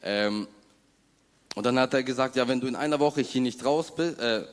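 A young man giving an unscripted personal testimony in informal, halting words. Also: mid-range voice. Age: young adult. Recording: long hall reverb.

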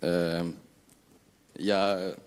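Young man, low voice, halting personal testimony in a reverberant hall. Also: level tone, smooth. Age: young adult. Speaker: church member giving personal testimony. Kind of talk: personal testimony, spoken unscripted.

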